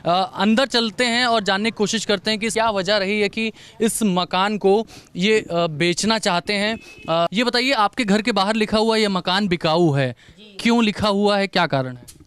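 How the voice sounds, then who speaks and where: A male television reporter speaks on location. His voice is high for a man and clear, and he moves between describing the scene and questioning residents. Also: normal speed, a bit bright. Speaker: field news reporter. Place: outdoors in a village.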